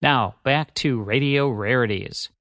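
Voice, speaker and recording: A man's voice: mid-range voice, a little slow, heavy background noise. A man who co-hosts a radio show, reading a scripted promotional announcement. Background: faint music.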